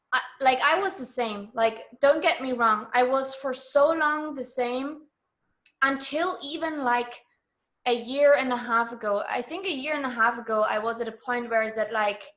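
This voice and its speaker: A woman with a high voice, speaking as a language. She talks like a guest in a casual interview, sure of herself and informal. Her delivery is emphatic as she explains her views. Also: unhurried, a bit bright. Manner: emphatic, self-assured, informal.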